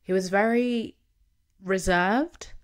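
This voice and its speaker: A young woman from Zimbabwe, a guest in an interview, telling her family story in a warm, conversational way with easy laughter. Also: medium pitch, slow pace.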